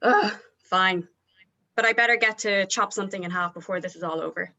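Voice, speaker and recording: A woman's voice: medium pitch, a little fast, pushed and bright. A woman reading the part of a feisty, foul-mouthed young band member itching for a fight, in a horror comedy table read. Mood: eager, grumbling.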